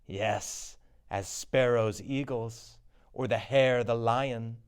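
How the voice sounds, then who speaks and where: Man playing a slow, grave wounded soldier. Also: mid-range voice, clear, resonant tone, lightly acted. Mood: grim. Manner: grave, declamatory. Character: wounded soldier proudly reporting a bloody battle.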